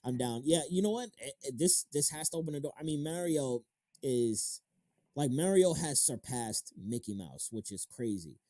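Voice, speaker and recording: A man's voice: mid-range voice, big pitch swings, moderate pace, dark tone, dry recording, clean studio sound. A thirty-year-old man hosting an interview as a casual chat, informal and slangy with some casual swearing. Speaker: interview show host. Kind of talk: casual chat about games and films.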